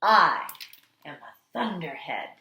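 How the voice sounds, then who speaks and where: A woman kindergarten teacher reading aloud to young children with a dramatic, deliberate, expressive delivery. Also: deep voice. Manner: dramatic, deliberate delivery with strong swells and hushed endings. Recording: echoey, roomy sound.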